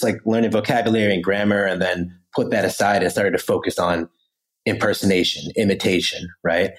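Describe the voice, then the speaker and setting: A man from the United States, a podcast guest, telling his story in a casual, animated way with many "right?" and "you know" asides. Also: fairly low pitch, poor audio.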